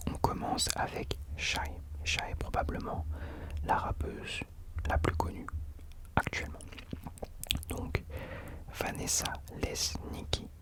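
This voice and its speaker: A man speaking in an unvoiced whisper, soft and informal, chatting as the host of an ASMR video into a close microphone. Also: takes it slowly, pauses often. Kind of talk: whispered ASMR talk about rap.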